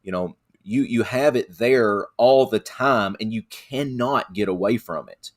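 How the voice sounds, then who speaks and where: Low-voiced man, earnest co-host. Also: unhurried. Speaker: podcast co-host. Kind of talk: podcast discussion.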